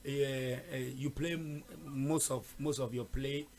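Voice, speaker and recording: A man's voice: mid-range voice, fairly even intonation, a little slow, darkish tone. A man hosting a casual interview, chatty, with frequent fillers and repeated words. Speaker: host.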